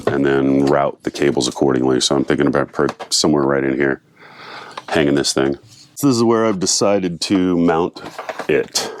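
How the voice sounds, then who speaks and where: An American man in his fifties explaining a hands-on job in a casual vlog style, informally thinking aloud, recorded on a clip-on mic in a small boat cabin. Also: extremely low pitch. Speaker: boat owner presenting a DIY installation. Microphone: clip-on lavalier on the chest.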